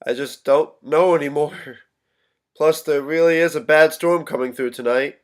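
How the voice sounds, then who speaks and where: A man in a staged drama reading, playing a troubled young son who speaks haltingly and slips into his missing family's voices. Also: a little high, fairly even intonation, natural voice. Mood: subdued, weary. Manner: halting, repetitive, switching tones of voice. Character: troubled young son losing his grip, pleading, echoing his vanished family.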